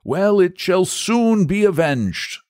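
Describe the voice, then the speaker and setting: A man's voice: sits high, very animated, slow pace, a little mellow, no room sound, voice pushed up, exaggerated voice. A man reading aloud, doing a grand, kindly knight for a storybook, lifted well above his own voice. The speech is lofty and old-fashioned, and the recording is dry and close.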